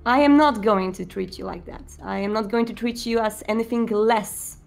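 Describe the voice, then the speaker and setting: A woman plays a commanding vampire prince in a tabletop roleplay, protective yet menacing. The speech is measured and formal, with a creaky edge, over faint background music. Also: fairly high pitch, fairly even intonation. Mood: solemn, firm.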